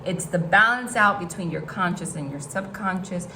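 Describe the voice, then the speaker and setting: A woman with a northeastern American accent, from the New Jersey and New York City area, talks through a tarot reading in a loose, rambling way, full of "like" and restarts. Her voice sits a little low for a woman. A faint hiss of room noise stays under her the whole time. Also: moderate pace. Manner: chatty, rambling, frequent "like" and restarts. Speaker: tarot reader. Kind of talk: casual tarot reading to camera. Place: hot room at home.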